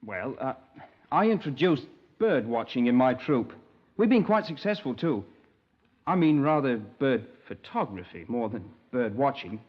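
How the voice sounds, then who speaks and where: A naive young English scoutmaster in an old radio crime drama. His pitch leaps about eagerly as he rambles politely, hesitating and easily affronted. The sound is dull and narrow, like an aged broadcast. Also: sing-song, a little slow, breathy edge. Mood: earnest, rambling. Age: young adult. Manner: polite, hesitant, wordy, punctuated with sir. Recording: dull, band-limited 1950s radio recording.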